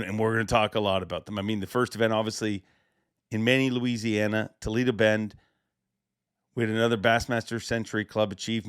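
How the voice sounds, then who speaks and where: A man hosting a podcast, his voice slightly raspy, talking with eager, emphatic energy. The sound is dry and close, with little room around it. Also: lowish voice, no echo. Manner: excited, emphatic, rambling. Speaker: podcast host. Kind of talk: casual podcast monologue.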